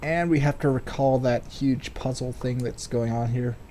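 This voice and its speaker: Male gaming commentator, dark relaxed tone, medium pitch, loose and wordy, slight background noise. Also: normal speed. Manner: rambling, speculative, sweary. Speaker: let's play host. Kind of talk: casual game commentary, reading game text aloud.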